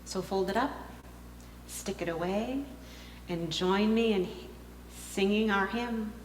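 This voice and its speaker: Woman preacher, mid-pitched, emphatic and pausing, direct appeal; reverberant hall recording with hum. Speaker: minister preaching. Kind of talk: sermon, direct personal appeal. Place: large indoor congregation hall.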